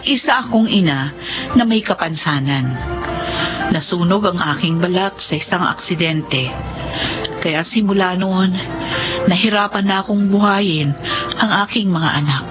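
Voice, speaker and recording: A woman's voice: on the low side, a little slow, pauses often, muffled recording, music in the background, natural voice. An actress playing a poor, patient and protective mother in a radio drama. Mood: sorrowful. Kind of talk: dramatic radio narration and dialogue.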